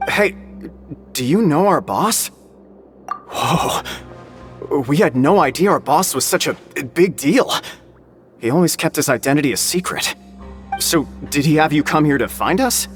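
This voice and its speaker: A man playing a wary, gruff but devoted prison crew member in a video game. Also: on the high side, expressive, measured pace, long pauses, faint music behind. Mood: impressed.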